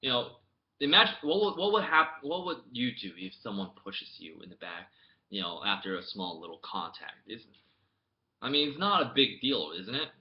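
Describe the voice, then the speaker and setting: A young man talks to his laptop camera in a casual, rambling way and keeps restarting his sentences. His voice sits at an ordinary middle pitch. It jumps between low mutters and sudden loud bursts, and the laptop recording sounds dull and lo-fi. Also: big pitch swings, normal speed. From United States. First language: English. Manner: rambling storytelling with many restarts, swinging from mumbled asides to loud outbursts. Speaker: vlogger. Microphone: laptop webcam mic.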